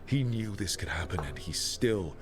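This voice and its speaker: Grave low fantasy companion. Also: male voice, expressive, moderate pace, music in the background.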